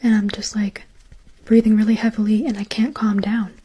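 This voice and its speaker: A woman telling a personal story in a soft whisper, chatty and casual with many "like"s and "um"s. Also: medium pitch, flat delivery, normal speed, breathy edge. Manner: whispering softly throughout; chatty, lots of "like" and "um".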